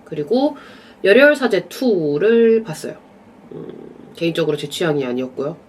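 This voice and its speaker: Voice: mid-range voice, moderate pace, a little hiss or hum. A young adult vlogger with a slight cold and a stuffy nasal voice, chatting casually to camera about films and dramas. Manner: relaxed, chatty, drifting off into asides. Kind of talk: casual rambling chat to camera.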